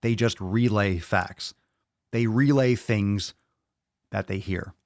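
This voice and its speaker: A man's voice: fairly low pitch, slow, no room sound. A young man hosting a solo podcast, ranting bluntly and emphatically with frequent swearing into a close mic. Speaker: podcast host.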